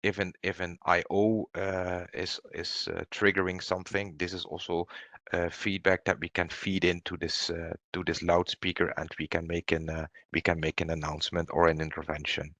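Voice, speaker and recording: Low-voiced man presenting, halting delivery. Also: little pitch movement, a bit bright, no room sound. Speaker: company product presenter. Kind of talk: webinar product presentation.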